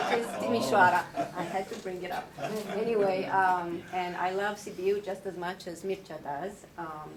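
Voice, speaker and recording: A woman with a Romanian accent, introducing herself playfully with joking asides. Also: on the low side. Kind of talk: self-introduction. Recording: echoey room from far off, crowd behind.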